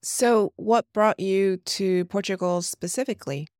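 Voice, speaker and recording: A woman's voice: medium pitch, a little slow, a little mellow, no echo, studio quality. A woman from the United States hosting a podcast interview in an upbeat way, asking short questions. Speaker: podcast host.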